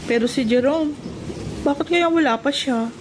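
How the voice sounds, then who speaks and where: A woman's voice: high-pitched, normal speed, background noise, natural voice. A woman playing an emotive young adult elder sister in a Filipino radio drama, with a Filipino accent. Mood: concerned. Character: concerned, indignant grown daughter and elder sister.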